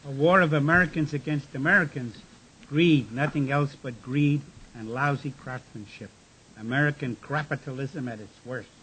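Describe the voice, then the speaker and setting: A man's voice: medium pitch, moderate pace, fairly bright tone, a little hiss or hum, natural voice, rough recording. A man playing an aggrieved, bookish political idealist in a radio drama, speaking somewhat formally. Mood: bitter.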